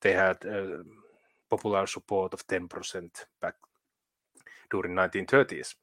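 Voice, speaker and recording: Guy, lowish voice, stops and restarts a lot, dry recording. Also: level tone, a bit bright, dry recording. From Finland. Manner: halting, many fillers and restarts. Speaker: guest. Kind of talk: political interview.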